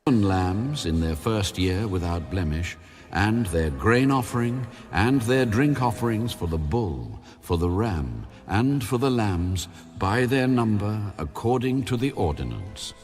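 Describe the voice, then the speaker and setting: A man narrating scripture as an audio Bible reader, in a measured, solemn, formal delivery. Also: lowish voice, sing-song, darkish tone. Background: background music.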